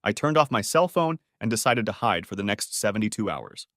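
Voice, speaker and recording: A male storyteller delivers a scripted first-person narrative. His pitch moves around in a lively way, and the sound is close and dry. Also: fairly quick, no echo.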